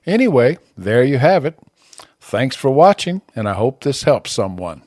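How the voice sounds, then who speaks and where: A man presenting a scripted amateur radio tutorial in plain, measured short statements, close on a broadcast microphone. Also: mid-range voice, sing-song, a little mellow, no echo. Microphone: close broadcast podcast microphone.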